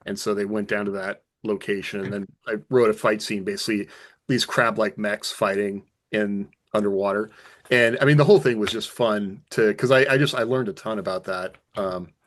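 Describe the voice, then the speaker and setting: A man's voice: lowish voice, fairly even intonation, normal speed, fairly bright tone. A man co-hosting a podcast in casual, conversational panel chat. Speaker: podcast co-host, author.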